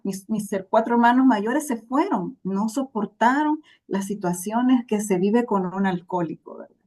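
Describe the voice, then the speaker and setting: Warm-voiced Salvadoran woman telling her story. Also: medium pitch, animated, moderate pace. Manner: earnest, reflective. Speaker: interview guest. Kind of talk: personal storytelling.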